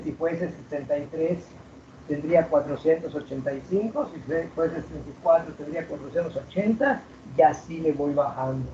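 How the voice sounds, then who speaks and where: A male teacher lectures a class from across an echoing classroom, his voice caught from a distance on a muffled recording. He keeps asking his students questions and stretches out the key words. His tone is mellow and slightly high for a man. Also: a little hiss or hum. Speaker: math teacher.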